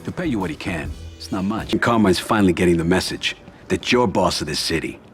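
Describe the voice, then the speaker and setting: An actor playing a calculating mafia don in a video game, giving counsel in a measured way. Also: male voice, low voice, expressive, faint music behind. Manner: measured, advising. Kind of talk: video game crime drama acting.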